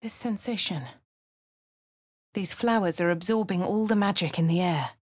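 A woman with a posh English accent speaking a game hero's lines and first-person narration in a measured way. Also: medium pitch, darkish tone, dry recording, clean studio sound. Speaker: player character voice. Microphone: studio mic.

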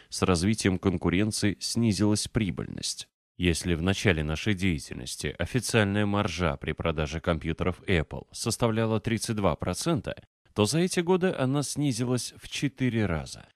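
A man narrating a nonfiction audiobook from a script in a measured, clearly articulated way. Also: low-pitched, a little mellow, no room sound, studio quality.

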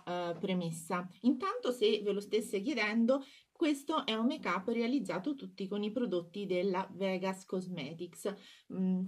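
Chatty woman vlogger full of pauses. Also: medium pitch, a little mellow. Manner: chatty, hesitant, many filler pauses. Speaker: beauty vlogger. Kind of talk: casual product review to camera. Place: small indoor room at home.

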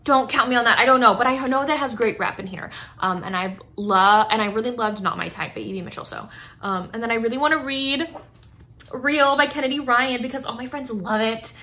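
Bright-voiced young woman, gushing book vlogger. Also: on the high side, a little fast. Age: 20s. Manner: gushing, emphatic, informal with frequent "like". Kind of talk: casual chat to camera, book review. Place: small indoor room lined with bookshelves.

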